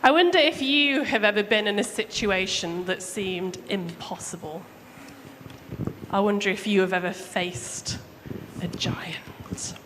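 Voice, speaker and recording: A woman telling a Bible story to children live at church, theatrical and emphatic with deliberate phrasing. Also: medium pitch. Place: large room, live church service. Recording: echoey hall, clear background noise.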